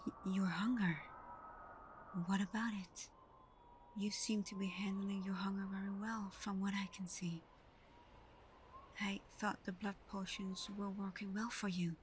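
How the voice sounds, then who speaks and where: A woman playing a tender, devoted vampire girlfriend in an intimate ASMR roleplay, speaking softly and breathily, often in a whisper, gentle and reassuring. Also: mid-range voice, little pitch movement, measured pace, long pauses, slight background noise, natural voice. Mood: puzzled, concerned.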